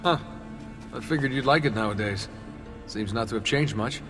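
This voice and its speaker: A man's voice: mid-range voice, normal speed, lightly acted. A man voicing a laid-back, curious young member of a covert team in a video game. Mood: casual. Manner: casual, questioning. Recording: long reverb, clear music behind.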